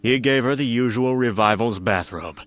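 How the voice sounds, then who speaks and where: A man narrating a comic story in a dramatic scripted style, with a wry, deliberate storytelling manner. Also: medium pitch, fairly bright tone. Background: music.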